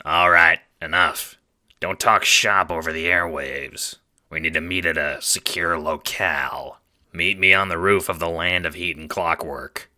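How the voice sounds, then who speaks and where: A man playing a shady, slangy tough-guy lawman and dealer in an audio drama, with gruff talk. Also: deep voice, fairly even intonation, a little slow, bright, forceful tone, no room sound, studio quality, heavily acted. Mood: gruff, businesslike. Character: shady corrupt Dursite lawman, streetwise gangster-style dealer. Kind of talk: audio drama character acting.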